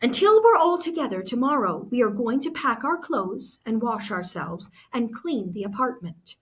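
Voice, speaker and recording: A woman's voice: fairly high pitch, sing-song, moderate pace, slight background noise. A woman reading the part of a proud, reassuring mother in a storybook, firm and confident.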